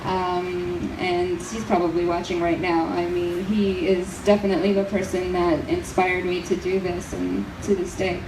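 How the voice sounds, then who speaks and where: A young woman from New Jersey who grew up speaking Portuguese talks earnestly about her music, pausing with fillers as she reflects. She sounds set back from the mic, with a roomy echo. Festival noise carries clearly behind her. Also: fairly low pitch, little pitch movement. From New Jersey, United States. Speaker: fado singer, interview guest. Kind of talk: casual interview. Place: outdoor festival. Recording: echoey festival crowd noise from far off.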